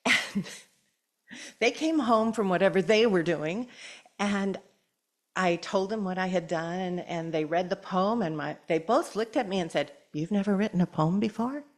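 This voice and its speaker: An older woman telling a personal story at a church gathering and reading her own poem aloud, with gentle laughter as she talks. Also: medium pitch, a little slow. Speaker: church speaker.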